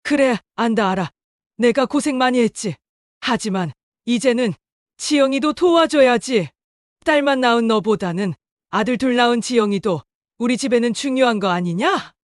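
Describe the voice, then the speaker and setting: An elderly, domineering mother-in-law played by a woman in an audio drama. Her voice sits high for a woman, recorded very dry and close, and she talks down to others in a scolding, dismissive way. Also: expressive, normal speed, no room sound, natural voice. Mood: dismissive, lecturing. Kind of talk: Korean audio drama acting.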